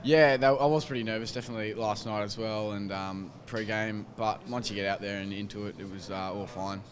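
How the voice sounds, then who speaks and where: A man's voice: fairly low pitch, fairly even intonation, fairly quick. A young Australian man, a footballer in a post-match interview, talking hesitantly with many fillers and restarts into a handheld mic. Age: young adult. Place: team rooms by a sponsor wall, crowd noise behind. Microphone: handheld microphone held by interviewer. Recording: crowd noise behind.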